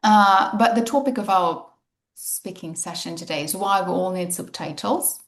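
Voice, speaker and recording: A woman's voice: mid-range voice, normal speed, reverberant. A woman English teacher leading an online speaking club, chatty and full of fillers, on a video call. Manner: chatty, many fillers like "you know" and "okay". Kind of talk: casual online group lesson. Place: home in Valencia.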